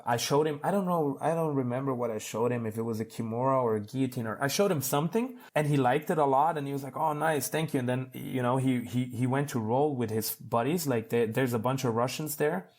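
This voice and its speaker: A thirty-year-old man with a medium-pitched voice gives a casual interview. He talks informally and loosely, wandering through his thoughts like an athlete chatting on a podcast. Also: darkish tone. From Switzerland. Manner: rambling, conversational, informal. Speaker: podcast guest, competitive jiu-jitsu athlete.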